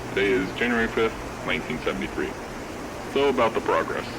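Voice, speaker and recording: A man's voice: lowish voice, lively intonation, fast talker, natural voice. A man playing an earnest, upbeat 1970s restaurant founder recording a casual, musing progress log for an analog horror series. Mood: earnest, businesslike. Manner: casual, musing, hesitant note-checking. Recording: noisy vintage tape-style recording.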